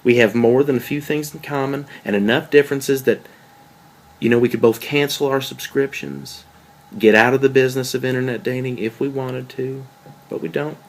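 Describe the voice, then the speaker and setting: A man's voice: mid-range voice, moderate pace, lightly acted. A man playing a jealous, wry and insecure boyfriend in a table read of a stage play, conversational and questioning. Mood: reflective, uncertain.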